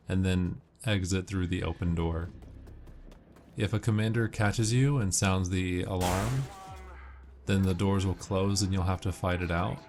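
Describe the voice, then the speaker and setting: Low-voiced man giving game commentary. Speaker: gamer giving walkthrough tips. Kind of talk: casual gameplay commentary. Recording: noisy, game gunfire behind voice. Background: video game gunfire and ambience.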